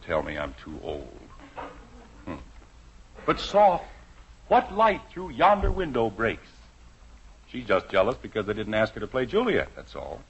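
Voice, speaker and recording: A man's voice: fairly low pitch, sing-song, unhurried, pauses often. An American actor playing a vain, good-natured middle-aged suburban father in a theatrical, blustering 1950s radio sitcom style. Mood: self-satisfied. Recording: muffled, noisy old radio recording.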